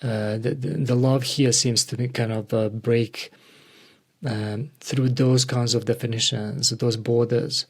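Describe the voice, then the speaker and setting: Halting, reflective male podcast host. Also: medium pitch, steady tone, breathy edge. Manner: hesitant, halting, searching for words. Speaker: podcast host interviewing a guest. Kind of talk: reflective conversational interview.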